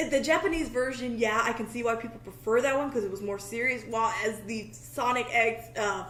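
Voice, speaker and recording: A woman's voice: fairly high pitch, fairly bright tone. A woman in casual fan chat on a podcast, informal and gushing as she talks and asks questions. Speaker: podcast speaker asking questions. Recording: roomy echo, noisy background.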